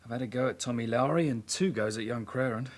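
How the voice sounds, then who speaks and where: A man's voice: mid-range voice, quick pace, lightly acted. A man playing a young detective constable in a radio drama, reporting in a clipped, matter-of-fact way. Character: young male detective constable, plain-spoken loyal side-kick.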